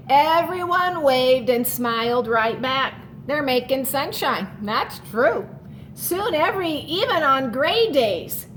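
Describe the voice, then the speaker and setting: High female voice, unhurried teacher-style storytime read-aloud, expressive stretched words, explanatory asides for kids. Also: a little hiss or hum. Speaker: teacher reading to children. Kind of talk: picture-book read-aloud for children.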